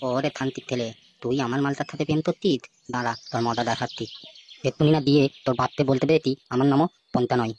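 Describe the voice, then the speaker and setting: A man's voice: mid-range voice, fairly even intonation, fast, lightly acted. Actor as crude, scheming cartoon boy, breakneck delivery, noisy recording with clicks. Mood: jealous menace. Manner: sly, crude tattletale. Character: jealous, scheming seven-year-old village boy. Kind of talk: comic cartoon dialogue.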